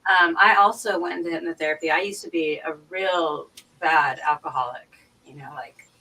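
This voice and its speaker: A woman's voice: low-pitched, moderate pace, sharp tone, husky, low-quality recording. A woman chatting casually and personally as a guest on a live stream.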